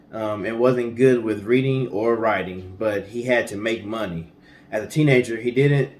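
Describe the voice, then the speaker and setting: A man co-hosting a storytime show, telling a story in an informal, colloquial way with casual asides. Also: medium pitch. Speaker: co-host of a storytime guessing-game show. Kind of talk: storytelling from notes with casual banter.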